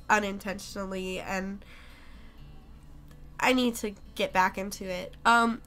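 A young woman talks to her stream in a loose, rambling way, filling pauses with ums. She is sick and her voice comes and goes. Soft music plays faintly behind her. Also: mid-range voice. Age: young adult. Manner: chatty, rambling, many ums. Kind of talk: casual live-stream chat.

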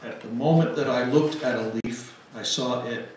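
A man in his seventies giving a reflective church address in a measured way, with long pauses. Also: medium pitch. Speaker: guest giving closing words. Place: church sanctuary, at pulpit. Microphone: gooseneck pulpit mic, picked up by far camera. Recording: very echoey church, distant mic, background noise.

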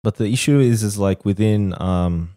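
A man's voice: on the low side, moderate pace, mellow. A man of Bengali heritage co-hosting a podcast, putting forward counterpoints with many fillers and restarts. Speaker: podcast co-host. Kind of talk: casual debate.

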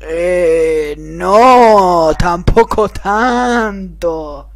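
Young male vlogger, Rioplatense accent, higher register, informal and repetitive delivery in a bedroom. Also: extremely slow, gravelly, soft background music. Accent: Rioplatense Spanish. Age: teens to young adult. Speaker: host of a prank-call video. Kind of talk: casual chat to followers and a caller. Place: small bedroom, indoors.

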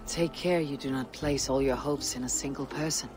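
A woman's voice: rumbling low, fairly even intonation, moderate pace. An actress playing a stoic, loyal Viking warrior woman in a dramatic game cutscene. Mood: quiet, reflective. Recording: loud background music under dialogue.

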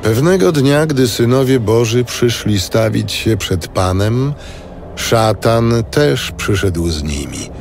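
A man giving solemn, measured scripted narration in a biblical radio drama. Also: medium pitch, animated, a little mellow, soft background music.

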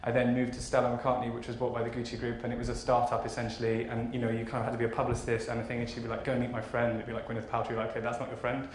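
Fast-talking man of thirty in a roomy hall. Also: mid-range voice, monotone. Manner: conversational, enthusiastic. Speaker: keynote speaker, fashion marketing executive. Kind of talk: informal conference keynote. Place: large conference auditorium stage. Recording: roomy hall echo, faint background noise.

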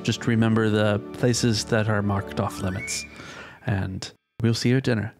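Man playing a formal, low-voiced castle servant. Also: steady tone, over music, natural voice. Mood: polite. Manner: formal, measured, discreet. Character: polite, guarded male castle servant.

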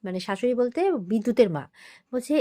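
A woman with a fairly high voice tells a serial's story informally and very quickly. Her delivery is chatty and run-on, like casual storytelling, and she slips into characters' dialogue as she goes. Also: dark tone. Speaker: recap narrator of TV serial episodes. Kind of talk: casual, animated storytelling recap, acting out dialogue.

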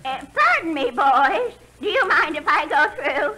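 High-pitched spry old lady. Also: very animated, unhurried. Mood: perky. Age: older. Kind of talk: 1940s radio comedy acting. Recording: noisy, crackly 1940s radio broadcast.

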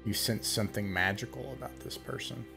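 A man's voice: lowish voice, brisk, vocal fry, music in the background. A man with a scratchy voice narrating as game master in an online tabletop game, casual and hesitant. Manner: casual, hesitant, many fillers. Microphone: video call webcam.

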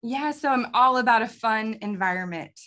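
A woman's voice: on the high side, normal speed, sharp tone. A young woman, a kids ministry pastor, answering interview questions in an upbeat, casual way and laughing often, on a video call from a small office. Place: small office with bookshelves, indoors. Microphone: video call webcam.

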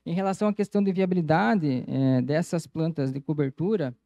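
Male lecturer, slightly high mellow voice, methodical explainer presenting at a conference. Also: fairly quick, no echo. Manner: explanatory, methodical, frequent "então" connectives. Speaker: agronomy lecturer. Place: auditorium stage, indoors. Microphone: handheld microphone.

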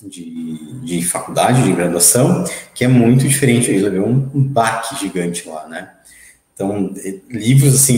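A man, telling long rambling stories full of fillers. His voice sits on the low side and he talks through an earphone mic. It sounds like a small, echoey room at home on a video call. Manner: rambling, lively storytelling with many fillers. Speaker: interview guest, game writer. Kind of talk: casual video-call interview.

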